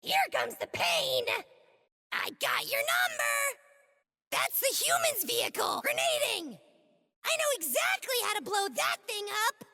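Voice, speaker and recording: A woman playing a small, cocky, aggressive alien foot soldier in a video game, squeaky and gravelly, taunting and boastful, with long reverb. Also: big pitch swings, a little slow, heavily acted. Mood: furious taunting. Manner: taunting, boastful, hot-headed, shouty. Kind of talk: video game combat barks.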